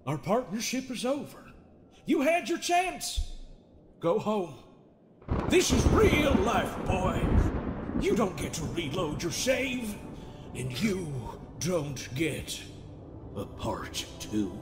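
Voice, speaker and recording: Smug middle-aged cosmic therapist man, played by a woman, mock-stern and folksy, very animated pitch, comedic game dub over prominent music. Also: takes it slowly, pauses often. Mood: menacing. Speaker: fan dub voice performer. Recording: music under the voice.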